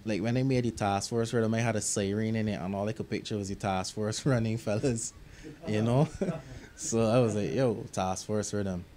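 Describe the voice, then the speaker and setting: Low, mellow Barbadian man. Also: moderate pace. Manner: relaxed, reflective, drops into local grammar. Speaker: music producer. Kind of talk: casual interview answers. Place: recording studio.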